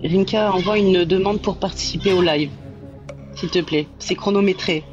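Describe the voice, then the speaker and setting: A hesitant quiz contestant who talks casually and keeps pausing to think. The voice sits at a middle pitch and comes through slightly dull and lo-fi, with faint music behind. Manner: hesitant, many fillers. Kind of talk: casual live call-in.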